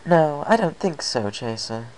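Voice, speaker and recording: A performer voicing a refined, caring female pony in a storybook reading, with a genteel and measured manner. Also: mid-range voice, big pitch swings, darkish tone, slight background noise, voice pushed up, rough recording. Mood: certain.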